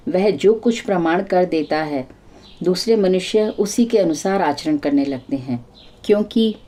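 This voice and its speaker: Mellow-voiced woman storyteller. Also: fairly low pitch. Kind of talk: scripted moral folk tale narration.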